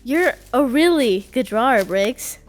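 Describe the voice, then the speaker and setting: A woman's voice: fairly high pitch, animated, unhurried. A brash, dreamy country girl, acted by a woman. She talks in a casual, folksy way in an audio horror drama, over a faint music bed. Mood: fond. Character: brash, dreamy small-town country girl with a soft heart.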